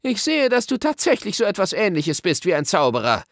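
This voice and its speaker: A man's voice: sits high, wildly swooping pitch, fairly quick, a bit bright, no echo, studio quality, pitched above the performer's own voice, full character voice. A man voicing an imperious, wrathful witch queen in a storybook, commanding and scornful. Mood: furious, scornful. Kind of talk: dramatic audiobook reading.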